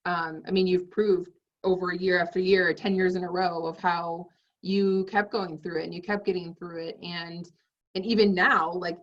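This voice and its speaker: A woman host with a slightly low voice asks her guest encouraging, rambling questions, padded with fillers. She sits a little away from the mic, and the audio comes through muffled. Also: little pitch movement, normal speed, far from the mic. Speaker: podcast host. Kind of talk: casual interview.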